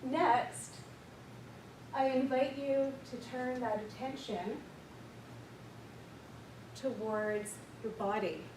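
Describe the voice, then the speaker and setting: Soft-spoken woman guiding a meditation. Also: sits high, steady tone, noisy background. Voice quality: quiet voice. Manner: soft, slow, gentle and unhurried. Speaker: counsellor leading a workshop. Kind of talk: guided meditation in a workshop talk. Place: large echoey room with an audience. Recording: echoey room recorded from far off.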